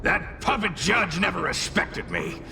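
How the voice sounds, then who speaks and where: Gravelly, wildly swooping cranky spirit. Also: male voice, high-pitched, wildly swooping pitch, unhurried, noisy background, exaggerated voice. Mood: grudging. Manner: sneering, exclamatory, theatrical. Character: cranky, scornful male tail spirit, mocking and impatient. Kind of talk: anime-style game voice acting.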